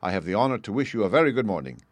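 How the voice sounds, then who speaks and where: A man's voice: fairly low pitch, sing-song, racing, dry recording. A man doing a cold, clipped detective. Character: cool, reserved male detective, precise.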